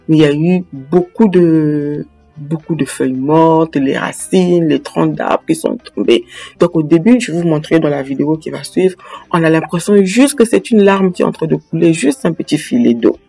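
Woman, middle-aged, from Congo, husky, webcam in a big office room, faint music. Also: sits low, normal speed, warm tone. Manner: direct, addresses viewer with many questions. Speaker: life coach teaching farming. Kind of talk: casual video lesson. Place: large indoor office room. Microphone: webcam at desk.

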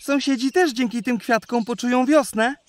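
A woman's voice: on the high side, normal speed, natural voice. Woman voicing a curious little boy bunny. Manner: asks many eager questions. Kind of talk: children's audio drama.

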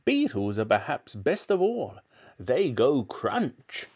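A man acts out a wicked little old grandmother from a children's storybook. The voice is pitched very high and swings wildly up and down. This granny is bossy and scornful, snapping out every order and relishing anything disgusting. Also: huge pitch swings, takes it slowly, a little mellow, husky, exaggerated voice. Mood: gloating relish. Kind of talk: storybook character reading.

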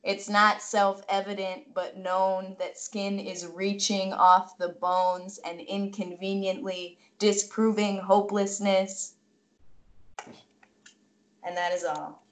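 A woman reading poetry over a video call in a measured, deliberate way. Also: mid-range voice, little pitch movement. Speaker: poet giving a reading.

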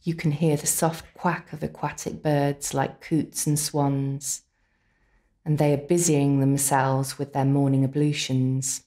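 A woman narrating a scripted guided visualisation in an unhurried, soothing way, with deliberate pauses. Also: extremely low pitch, flat delivery, warm tone. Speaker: complementary therapist leading guided relaxation.

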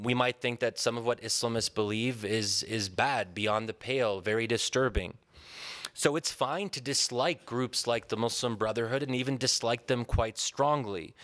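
A man in his thirties from Pennsylvania with an American accent, giving a measured, thoughtful conference talk at a podium in a large hall, pausing often with ums and restarts. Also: mid-range voice, fairly bright tone. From Pennsylvania, USA. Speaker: conference speaker. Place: large hall with a seated audience. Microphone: podium microphone.

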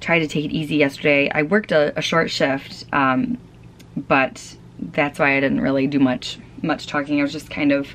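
Young woman home vlogger, low voice, rambling ums, a bit run down, close to the camera. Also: a little hiss or hum. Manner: chatty, frequent ums and restarts. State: tired, fighting off a cold. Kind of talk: casual chat. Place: home, small room.

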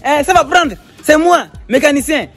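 A young man playing a boastful fake mechanic in a comedy sketch, talking loudly and laughing, outdoors beside a car. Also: very high voice, expressive, normal speed, over music. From Togo. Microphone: phone camera at close range.

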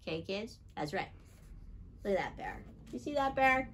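A middle-aged woman reading a picture book aloud to children in an animated way, talking to them directly with questions. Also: medium pitch, moderate pace, a little hiss or hum. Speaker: children's story time reader and wildlife educator. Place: small indoor library room with bookshelves.

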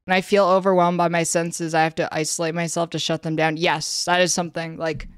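A young woman with a Canadian accent chats casually on her stream, her tone flat and a bit monotone. Her voice sits on the lower side, and the sound is dry and close with no room around it. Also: brisk, no room sound. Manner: flat, slightly monotone delivery with frequent fillers. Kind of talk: casual livestream chat, reading a questionnaire aloud. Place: indoor room, streaming setup.

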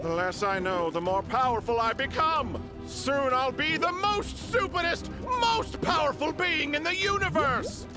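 A man's voice: very high-pitched, lively intonation, measured pace, hardly any pauses, over music. A man playing a deranged, dim-witted villain doctor in a comedy cartoon game, bombastic and theatrical. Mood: smug. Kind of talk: animated comedy game acting.

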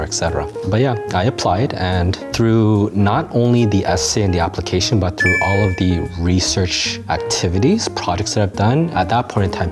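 A low-voiced man in his thirties from Canada talks through his experiences in a calm, articulate manner. He is recorded on a lapel mic in a studio set, with steady music playing beneath him. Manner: articulate, measured, with occasional fillers. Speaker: graduate alumnus interviewee. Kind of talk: interview answers. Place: dim studio set with bookshelves. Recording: music bed under voice. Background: soft background music.

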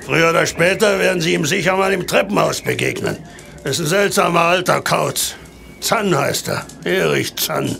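An actor playing a grumpy, impatient old landlord in an audio drama, with a gruff, curt way of talking and a gravelly voice. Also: male voice, on the high side, moderate pace, pushed and bright, full character voice. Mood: grumbling. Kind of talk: German audio drama acting.

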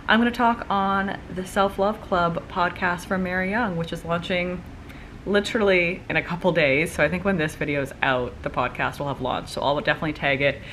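A young woman with a deep, slightly stuffy voice chats casually to the camera in an upbeat, rambling way. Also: a bit bright, slight background noise. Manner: chatty, upbeat, rambling with fillers. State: stuffy nose. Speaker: vlogger and entrepreneur. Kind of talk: casual vlog chat to camera. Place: living room by a window.

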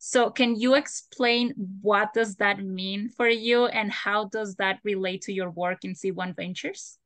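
A young woman moderating a webinar on a video call, speaking in a quiet, measured way. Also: mid-range voice, fairly even intonation, no room sound. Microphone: video call webcam.

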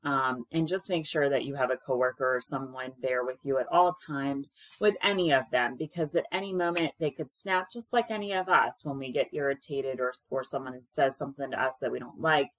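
A woman in her thirties with a strikingly deep voice that carries, speaking loudly and frankly as a caregiver offering advice. She talks to a webcam in a small room. The sound is dry, with no room echo at all. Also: fairly quick, few pauses. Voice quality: low-pitched voice that projects. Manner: loud, frank and conversational. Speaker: caregiver sharing experiences. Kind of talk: casual advice talk to camera. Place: small indoor room with plain wall.

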